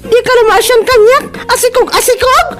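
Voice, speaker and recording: A woman's voice: extremely high pitch, fairly quick, over music. A woman with a Filipino accent playing a long-suffering, plaintive wife and mother in a comedy radio drama. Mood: indignant.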